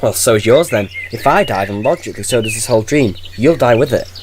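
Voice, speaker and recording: A man's voice: mid-range voice, lively intonation, quick pace, noisy background, lightly acted. A man playing a flippant, eccentric time-travelling hero in an audio drama, glib and insistent. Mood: questioning, defiant.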